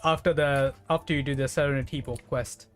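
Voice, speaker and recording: A streamer commentates casually on a game as they play. The voice sits at a middling pitch and is chatty, with playful exasperation. Music plays behind the talk. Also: steady tone, a little fast.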